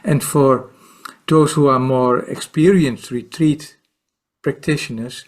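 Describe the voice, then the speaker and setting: Slow, halting Dutch-accented man in his sixties. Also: medium pitch, steady tone. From Netherlands. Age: 60s. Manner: slow, halting, with many repeated words and fillers. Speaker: guest, meditation teacher and author. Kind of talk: podcast interview.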